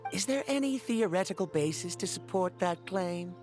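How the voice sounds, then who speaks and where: A man's voice: high voice, animated, normal speed, a little mellow, faint music behind. A man voicing an earnest, pedantic young male scholar in a video game, formal and skeptical. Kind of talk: animated video game dialogue.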